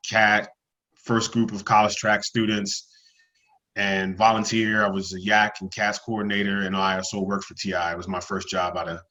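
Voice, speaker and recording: A man's voice: on the low side, pushed and bright. A man, introducing himself as a panelist on a video call. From New Jersey, USA. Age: 40s. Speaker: panelist, longtime program alumnus. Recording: dull, lo-fi video call audio.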